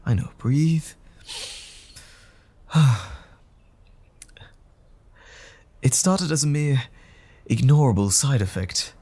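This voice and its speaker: A man playing a troubled, apologetic gentleman scientist in an audio roleplay drama, speaking in a formal, halting, anxious way. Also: medium pitch, lively intonation. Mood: anxious, strained.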